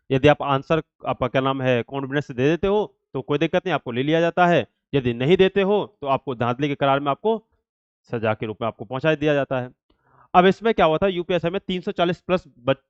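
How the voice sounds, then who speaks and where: A man's voice: a little high, clean tone, dry recording, dull audio. A man giving students an informal explanatory exam update, repeating himself and leaning on verbal tics. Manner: repetitive, filler-heavy explaining with habitual verbal tics. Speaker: exam update channel host and coach.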